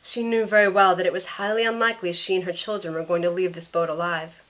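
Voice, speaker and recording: A woman's voice: lowish voice, fairly even intonation, fast, a bit bright, natural voice, poor audio. A woman playing a caring young heroine who narrates her own ordeal in a scripted first-person audio drama. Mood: anxious narration.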